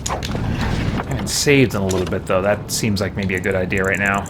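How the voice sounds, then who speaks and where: A man's voice: sits low, normal speed, heavy background noise. A man hosting a game livestream, talking casually as he plays and addresses his viewers. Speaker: livestream host playing a fantasy role-playing game.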